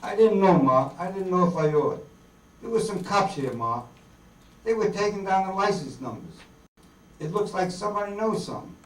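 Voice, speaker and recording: A man's voice: high-pitched, expressive, normal speed. A man playing an earnest, idealistic young farm-born outlaw in folksy rural dialect, in a staged radio drama reading. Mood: regretful. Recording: roomy hall, distant mic, faint noise.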